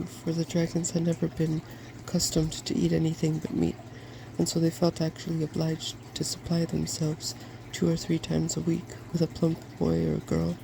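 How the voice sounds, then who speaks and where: A softspoken ASMR host reading a fairy tale from a storybook in a gentle, quiet way. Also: medium pitch. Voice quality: soft-spoken. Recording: clearly noisy background.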